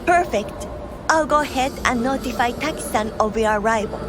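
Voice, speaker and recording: A woman's voice: on the high side, normal speed, crowd noise, natural voice. A woman playing a formal, polite luxury hotel receptionist in a video game, with courteous customer-service phrasing. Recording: clear ambient noise behind.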